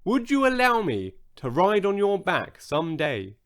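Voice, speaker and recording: A man's voice: sits high, wildly swooping pitch, a little slow, voice pushed up, full character voice. A man voicing a wise, friendly pet monkey in a storybook, speaking politely and earnestly. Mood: hopeful, polite;.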